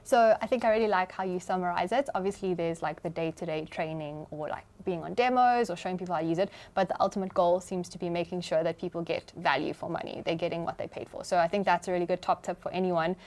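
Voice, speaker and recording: A woman's voice: fairly low pitch, fast, hardly any pauses, a little mellow. A South African woman hosting a business podcast interview, upbeat and chatty with casual fillers. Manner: upbeat, chatty, uses fillers like "you know". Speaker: podcast host.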